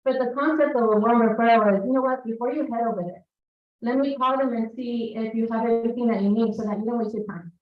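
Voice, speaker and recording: Salvadoran-born woman, higher voice, lively talk to staff, sounds far off and dull in a big hall. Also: fast. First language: Spanish. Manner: lively, engages the audience directly. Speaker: staff presenter. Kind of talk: speech to college staff. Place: large hall with an audience. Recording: echoey hall, distant mic, dull sound.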